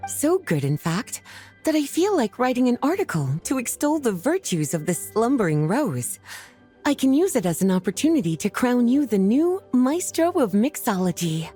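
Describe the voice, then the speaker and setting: A woman's voice: a little high, sing-song, moderate pace, dark tone, slightly rough, soft background music. A woman voicing a worldly, self-assured and playful lady in a video game, articulate, teasing and affectionate. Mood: pleased, savoring. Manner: teasing, flirtatious, articulate, savoring her words. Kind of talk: scripted video game dialogue.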